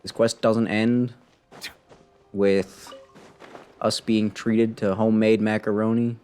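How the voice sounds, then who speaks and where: A man's voice: lowish voice, level tone, slow pace, darkish tone, clean tone, faint music behind. A man giving casual live gameplay commentary as a streamer, in a wry, rambling way. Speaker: game streamer.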